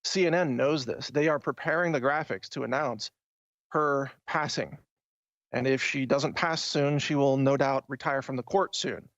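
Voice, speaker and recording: A man's voice: medium pitch, normal speed, a bit bright, no echo. An American man hosting a political talk show, speaking in an emphatic, opinionated, conversational monologue. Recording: dull, band-limited dry audio.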